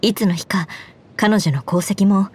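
A woman voicing an earnest, dutiful young knight commander in a Japanese game, speaking in a formal, measured way. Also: medium pitch, a little mellow, slightly rough. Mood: composed, thoughtful. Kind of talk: Japanese game character voice lines. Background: background music.

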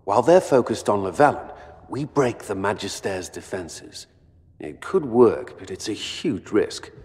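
An actor playing a cautious, earnest military commander in a video game, speaking in a measured, serious way. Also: male voice, fairly low pitch, animated, darkish tone. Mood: wary. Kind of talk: video game cutscene acting. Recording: long hall reverb.

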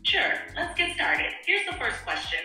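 A woman's voice: high-pitched, wildly swooping pitch, moderate pace, bright, forceful tone. A female AI voice assistant speaking in a conversational coaching style, played through a phone speaker. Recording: echoey, distant phone speaker, music behind.

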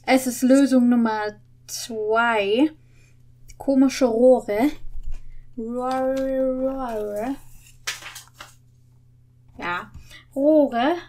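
A woman's voice: a little high, steady tone, drawn-out words, long pauses, darkish tone. A young woman talking casually and playfully as a video host, thinking aloud close to a desk microphone indoors. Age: young adult. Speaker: video host opening an advent calendar. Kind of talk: playful casual chat while solving puzzles. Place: indoor home studio with green screen. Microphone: desk microphone with pop filter, close.

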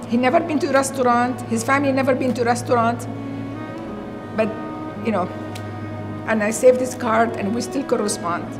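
A woman's voice: fairly high pitch, normal speed, pauses often. Syrian-accented woman chef, music behind. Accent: Syrian Arabic. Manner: earnest, animated, with many "you know"s. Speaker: chef and restaurant owner. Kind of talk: documentary interview. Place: empty restaurant dining room. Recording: documentary interview with music underneath.

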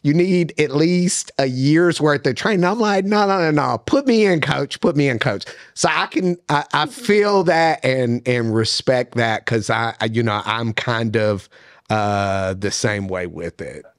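A man in his thirties co-hosting a casual podcast interview, asking rambling questions full of fillers into a studio boom mic. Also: animated, a little slow, no echo. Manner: laid-back, rambling questions with many fillers. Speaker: podcast co-host. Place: studio set with couch. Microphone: boom mic with headphones.

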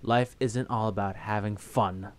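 A young man hosting a game playthrough, chatting casually and reading the game's text aloud in a steady voice. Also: lowish voice, unhurried, clear, resonant tone. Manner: reads text in a flat steady voice, breaks into laughter. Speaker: let's play host.

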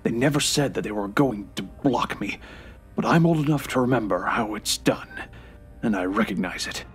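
An actor playing a frail, bitter, remorseful old grandfather in an audio drama, halting and irritable, sometimes hushed and breathy. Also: on the low side, lively intonation, soft background music. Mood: regretful, bitter.

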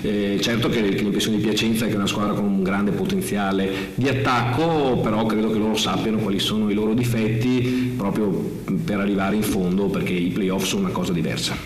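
A man in his forties, a basketball coach giving a measured, analytical post-game press conference at a table mic. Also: lowish voice, fairly even intonation. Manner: measured, analytical, with frequent restarts. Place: press room in front of a sponsor wall. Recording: echoey press room.

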